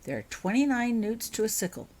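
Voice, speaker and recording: A woman's voice: on the high side, big pitch swings, fairly quick, a little mellow, voice pushed up. A woman voicing a friendly wizard father who explains things kindly in a storybook reading. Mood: patient, explaining. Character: friendly, helpful wizard father guiding a child.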